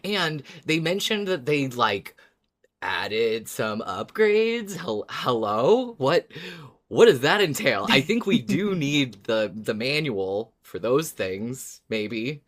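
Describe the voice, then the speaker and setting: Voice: medium pitch, sing-song, slow. A podcast co-host in a casual fan discussion, speaking in a playful, jokey way.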